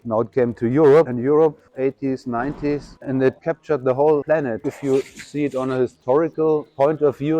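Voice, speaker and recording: Guy in his fifties musing about art, bit halting, warm low-key voice, some soft music in the back. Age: 50s. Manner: reflective, halting, non-native phrasing. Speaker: graffiti artist. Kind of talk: interview answers. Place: at an art show.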